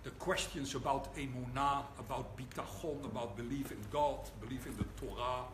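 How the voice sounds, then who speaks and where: An older man with a Dutch accent gives a public lecture as a rabbi, speaking in a wry, deliberate way and pausing for the audience's laughter. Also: mid-range voice, steady tone. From Netherlands. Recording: echoey hall, audible room noise.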